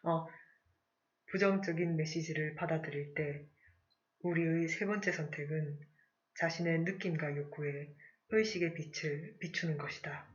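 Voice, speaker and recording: A teacher chats casually through a live online class, stopping often to clear their throat and filling pauses as they go. Example sentences come out slow and deliberate. The voice sits at a middle pitch, and the audio is slightly dull and lo-fi. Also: fairly even intonation. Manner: frequent throat-clearing, fillers, reads example sentences aloud slowly. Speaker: English conversation teacher.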